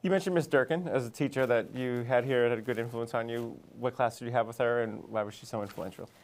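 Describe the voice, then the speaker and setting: A man's voice: medium pitch, fast. A middle-aged man, a school dean, introducing and interviewing a student in a friendly, loose way with many fillers.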